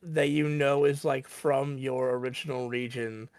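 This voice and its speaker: A curious man putting a question to his friends on a livestream. His voice is smooth and clear, held level in pitch. The sound is close and dry. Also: steady tone, measured pace, a little mellow, dry recording. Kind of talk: casual group chat.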